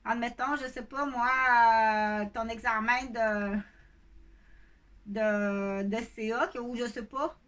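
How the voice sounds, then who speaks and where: Bright high-voiced Québécois woman in her fifties. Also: steady tone, slow pace. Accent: Québécois French. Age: 50s. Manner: hesitant and rambling, with frequent filler sounds. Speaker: video blogger. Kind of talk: casual talk to camera.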